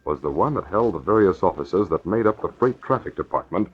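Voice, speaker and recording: A man's voice: sits low, animated, fairly quick, husky. A man delivering grave, scripted 1940s radio narration for a crime serial, on a muffled old recording with faint noise. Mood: grave, suspenseful.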